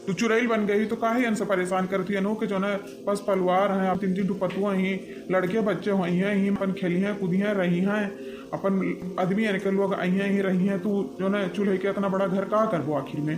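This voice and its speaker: A man's voice: high voice, level tone, normal speed, natural voice. A man voicing a commanding village exorcist holy man in a cartoon comedy, who admonishes and reasons in repetitive phrases. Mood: reasoning. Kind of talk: animated comedy cartoon voice acting in dialect.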